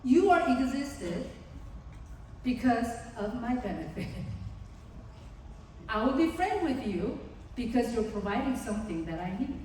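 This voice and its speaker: Slow Korean-accented woman lecturing in a hall. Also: fairly high pitch, very animated, darkish tone, slight background noise. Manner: earnest, slow and explanatory, acts out examples. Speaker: psychologist giving a parenting seminar. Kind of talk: seminar talk to parents. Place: large conference hall. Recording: distant, echoey hall.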